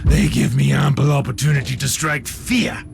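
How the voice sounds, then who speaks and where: An actor playing a grim, battle-hungry warrior in a video game, with a gravelly voice and dry, confident menace. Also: male voice, medium pitch, steady tone, moderate pace, over music. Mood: grimly confident. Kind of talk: dramatic video game voice acting.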